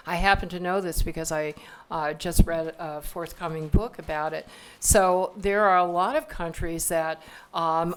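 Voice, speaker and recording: A woman with a low, smooth voice answers on a conference panel. She speaks in a measured way, pauses, and rebuilds her sentences mid-thought. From United States. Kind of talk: panel discussion. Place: conference hall stage.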